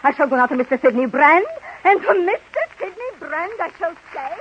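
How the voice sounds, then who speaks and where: An actress playing a melodramatic, imperious European film star with a continental accent in a 1930s radio comedy. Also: female voice, high-pitched, animated. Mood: indignant, haughty. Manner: theatrical, imperious, dramatic pauses and repetition. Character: temperamental, melodramatic European movie actress, self-pitying and wheedling. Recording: muffled, noisy 1930s radio recording.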